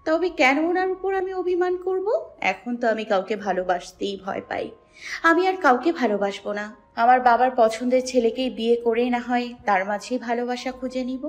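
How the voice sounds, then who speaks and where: A woman acts out a wounded young woman from a romantic audio story. Her voice sits high. She speaks plaintively and dramatically, heavy with resentment over an old love. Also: fairly quick, faint music behind, lightly acted. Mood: sad, resigned. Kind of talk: emotional audio-story monologue.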